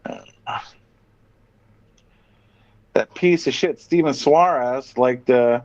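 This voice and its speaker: A man's voice: medium pitch, very slow, darkish tone. A man chatting casually as a podcast host, reading out items and reacting with profane, sardonic opinions. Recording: dull, muffled lo-fi audio.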